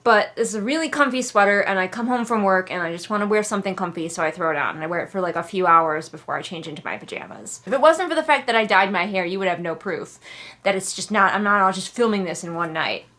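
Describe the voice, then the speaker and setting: A woman's voice: medium pitch, expressive, fast, fairly bright tone. A woman giving casual, comedic book commentary as host, with an exasperated, sarcastic and emphatic way of talking. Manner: acts out characters in mocking asides. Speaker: host of a book readalong vlog.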